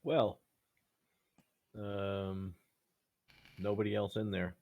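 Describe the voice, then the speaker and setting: Man, tabletop player, very low voice, creaky fry, blunt, sweary, casual chat. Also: flat delivery, drawn-out words, dull audio.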